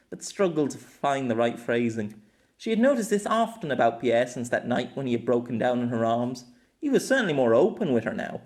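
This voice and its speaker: A male narrator reads prose fiction in an expressive storytelling style. His intonation is lively and moves up and down freely across each sentence. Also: medium pitch. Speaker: amateur audiobook narrator.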